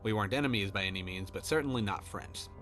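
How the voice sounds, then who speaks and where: A man's voice: on the low side, fast, fairly bright tone, faint music behind. A man reading his own fiction as a scripted first-person horror story narration.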